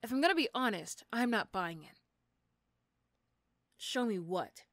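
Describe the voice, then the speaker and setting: A woman's voice: fairly high pitch, lively intonation, measured pace, fairly bright tone, dry recording, clean studio sound. A woman playing a sardonic, threatening but begrudgingly fond female supervillain in an ASMR roleplay. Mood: dry, casual.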